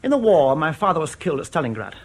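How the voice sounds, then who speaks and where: A radio drama defector from East Germany, a courteous and anxious official. His voice is slightly high for a man and husky at the edges. He chooses his words formally and carefully, with proud precision and small hesitant pauses. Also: very animated. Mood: earnest, grave.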